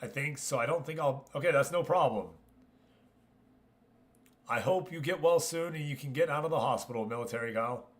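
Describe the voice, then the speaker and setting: A man's voice: medium pitch, moderate pace, pauses often. A man hosting a gaming livestream, talking casually and chummily to his viewers as he comments. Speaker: livestream host.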